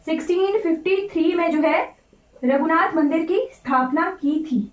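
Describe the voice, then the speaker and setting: An echoey, distant recording of an Indian woman teaching a class. Her voice is high and slightly off. She lectures informally, repeating key points and often checking that students follow. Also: moderate pace, lo-fi sound. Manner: repetitive, instructive, often checking with "right?". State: voice slightly off today. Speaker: teacher of an online exam-prep class. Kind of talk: informal lecture mixing Hindi with English terms. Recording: roomy echo, distant microphone.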